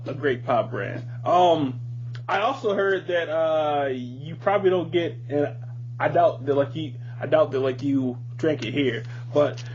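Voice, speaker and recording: A man's voice: a little high, a little mellow, a little hiss or hum. A man hosting a casual podcast chat, talking informally with slang and swearing. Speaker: podcast host. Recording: dull lo-fi audio with music behind.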